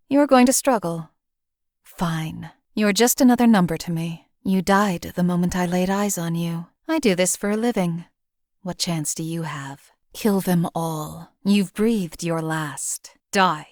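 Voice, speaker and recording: A woman voicing a cold, calculating female assassin companion in a game, with a calm, detached, clipped delivery. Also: lowish voice, dry recording, clean studio sound. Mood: menacing. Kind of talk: game voice lines.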